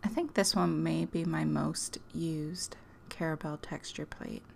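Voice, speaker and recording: A woman hosting a craft tutorial, talking casually and chattily with a hoarse, strained voice. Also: low voice, steady tone, unhurried, darkish tone, a little hiss or hum. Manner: chatty, self-deprecating, laughs often. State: hoarse, losing her voice.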